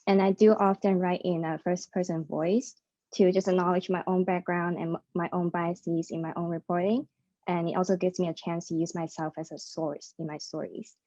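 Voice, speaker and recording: A woman journalist, born in Hong Kong and raised in New York, talks in a conversational way, often pausing on fillers. Her voice is mellow and a little low. The call audio is dry and slightly muffled. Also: steady tone, no room sound. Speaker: freelance journalist, panelist. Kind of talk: prepared panel remarks. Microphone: video call.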